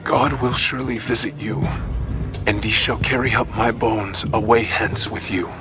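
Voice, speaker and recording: A man acts a solemn, dying male patriarch in a scripture drama. His fairly low, breathy voice speaks as if in prophecy, over clear music. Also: steady tone, normal speed, natural voice. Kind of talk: dramatized scripture audiobook acting.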